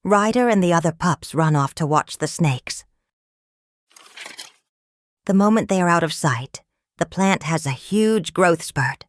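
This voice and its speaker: A woman reading a children's story aloud in an expressive storytelling manner. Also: fairly low pitch, measured pace, pauses often. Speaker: storybook reader. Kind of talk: scripted read-aloud narration of a children's story.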